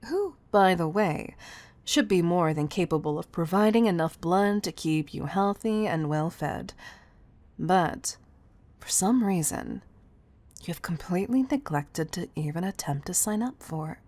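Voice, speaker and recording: A woman performs a stern female boss in an audio roleplay. Her voice sits low and she takes her time, stressing words with clipped exasperation. She sounds commanding and used to being obeyed. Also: animated, a little mellow, no echo. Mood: exasperated, scolding. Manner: exasperated, clipped, deliberate emphasis. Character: stern, commanding female CEO scolding an employee. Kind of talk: scripted audio roleplay monologue.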